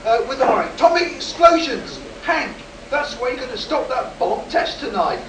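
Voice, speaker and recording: An actor playing a shaken, insistent test pilot in a live sci-fi radio play, with dramatic, urgent delivery. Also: male voice, very high voice, sing-song, moderate pace, a bit bright, full character voice. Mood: urgent pleading. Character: agitated, insistent rocket test pilot shaken by an alien encounter. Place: live performance in a room at a convention. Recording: roomy, distant, noisy live convention stage recording.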